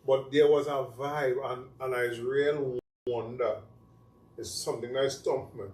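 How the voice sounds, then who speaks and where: A man's voice: mid-range voice, fairly even intonation, measured pace, a little mellow. A middle-aged man with a Trinidadian accent, chatting as host in a loose, reflective, repetitive way. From Trinidad and Tobago. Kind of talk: casual chat. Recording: roomy sound, mic at a distance, faint noise.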